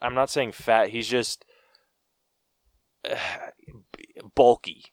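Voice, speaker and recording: Man, podcast co-host, slow and unhurried, smooth clear voice, dry close sound, casual movie chat. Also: medium pitch, no echo. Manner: relaxed, with frequent fillers and mild swearing.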